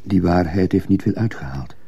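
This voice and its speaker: An actor playing a troubled, bitter dismissed schoolteacher in a radio play, brooding and terse, with a gravelly voice. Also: male voice, sits low, animated, normal speed, dark tone, no echo, heavily acted. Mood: guarded, bitter. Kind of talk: Dutch radio play acting with first-person narration. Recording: muffled old radio play recording.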